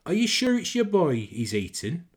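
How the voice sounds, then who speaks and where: A man's voice: sits high, huge pitch swings, moderate pace, breathy edge, pitched above the performer's own voice, exaggerated voice. A man voicing blunt working-class Lancashire characters in a comic poem, exclaiming in broad dialect. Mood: exclaiming, vexed.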